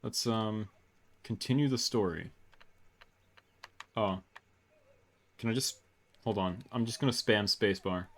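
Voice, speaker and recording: Low-voiced man, casual gaming commentary. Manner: low-key, halting over unfamiliar words. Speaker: gaming video host. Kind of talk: casual game commentary, reading on-screen text aloud.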